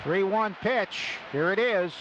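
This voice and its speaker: Higher-voiced baseball announcer, man, noisy broadcast. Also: slow pace, muffled recording. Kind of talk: live radio sports commentary with sponsor reads. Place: stadium broadcast booth. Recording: noisy live ballpark radio broadcast.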